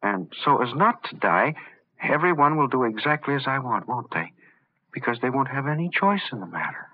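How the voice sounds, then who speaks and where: An actor playing a smug, power-mad little man with mock-polite, halting speech in an old radio horror drama. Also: medium pitch, sing-song, dull audio. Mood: gloating. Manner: mock-polite, halting, taunting tag questions. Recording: band-limited vintage radio drama recording.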